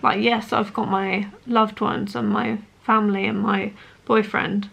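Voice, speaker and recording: Woman late 20s doing a personal vlog, earnest and halting, pretty flat pitch, bit of vocal fry. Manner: earnest, hesitant, halting delivery. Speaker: vlogger. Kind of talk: personal talk to camera.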